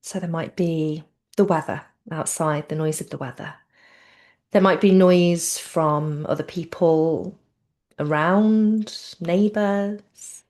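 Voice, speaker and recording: A woman teaching yoga nidra, giving gentle guided relaxation in a soothing, unhurried and informal way. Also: low-pitched.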